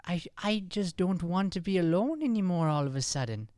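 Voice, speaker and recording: A man's voice: high voice, lively intonation, brisk, darkish tone, dry recording, studio quality. A man playing a shy, smitten fourteen-year-old Irish schoolboy in an improvised radio comedy, stammering and flustered. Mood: bashful, earnest.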